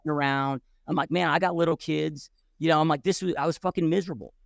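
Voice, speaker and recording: A man's voice: on the high side, steady tone, fast, vocal fry, no room sound, studio quality. A man in his forties, a podcast guest who tells his story bluntly and casually, swearing freely with many restarts and "dude"s.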